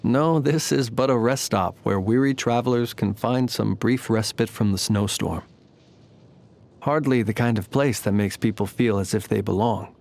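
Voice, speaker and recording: A man voicing a calm, wry, ancient fae lantern keeper for a video game, speaking in formal, measured, theatrical phrases. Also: fairly low pitch, creaky. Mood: reflective. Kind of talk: scripted video game character voice lines.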